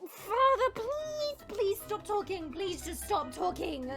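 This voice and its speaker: Woman voicing a very high-pitched, melodramatic young dragon princess. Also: very animated, slow pace, bright, forceful tone, soft background music, exaggerated voice. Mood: mortified. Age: young adult. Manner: exasperated, sarcastic, melodramatic. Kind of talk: audio roleplay drama.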